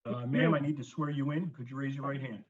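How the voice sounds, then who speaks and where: Brisk man running the hearing. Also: mid-range voice, fairly even intonation. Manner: brisk, matter-of-fact. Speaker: board attorney administering the oath. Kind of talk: procedural hearing remarks. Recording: dull lo-fi audio from far off.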